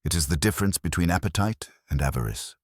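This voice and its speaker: Audiobook role: pompous, precise male detective played by a male narrator, very low, formal, scornful. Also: expressive, fast talker, dry recording, studio quality. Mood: grave, philosophical. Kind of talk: audiobook character dialogue.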